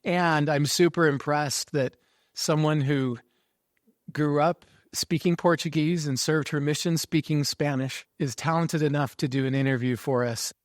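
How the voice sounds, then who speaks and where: A man hosting a faith podcast interview who responds warmly and affirms his guest. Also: a little high, steady tone, a little slow, no room sound, studio quality. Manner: friendly, affirming. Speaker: podcast host.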